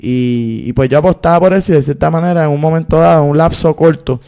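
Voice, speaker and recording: A Puerto Rican man in his thirties speaks as an interview guest, his voice gravelly with a dark, mellow tone. He tells his story in long, earnest stretches, unhurried and full of fillers. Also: a little high. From Puerto Rico. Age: 30s. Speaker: guest, activist and filmmaker.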